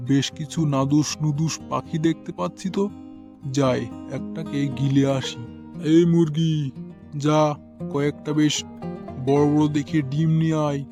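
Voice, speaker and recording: A man playing an always hungry, bullying man-eating ogre in a cartoon, boastful, bossy and threatening. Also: on the high side. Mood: hungry, scheming. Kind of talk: cartoon character acting.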